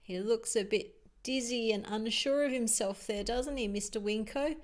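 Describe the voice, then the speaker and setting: A woman's voice: on the high side, moderate pace. A woman reading a picture book aloud to children, adding chatty asides about the pictures and putting questions to her young listeners.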